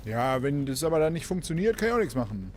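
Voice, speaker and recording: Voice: medium pitch, very animated, fast, faint music behind. A video game streamer giving casual, conversational commentary while playing.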